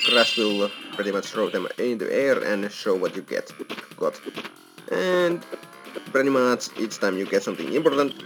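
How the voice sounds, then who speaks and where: A low-voiced man hosts a casual game walkthrough. His intonation is lively, and loud game music plays behind him. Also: rough recording. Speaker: gaming video host.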